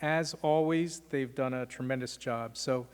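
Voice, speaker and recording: A man hosting a law school lecture, giving measured welcoming remarks and thanks, with frequent "uh" fillers. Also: mid-range voice, fairly even intonation, clear, resonant tone. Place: lecture hall. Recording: long hall reverb.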